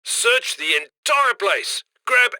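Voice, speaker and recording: Video game criminal handler: upbeat, cocky male, high-set and forceful, very dry close sound, slick conversational mission directions. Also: big pitch swings, slow pace, no room sound, heavily acted. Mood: firm, instructing. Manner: slick, conversational, egging the crew on.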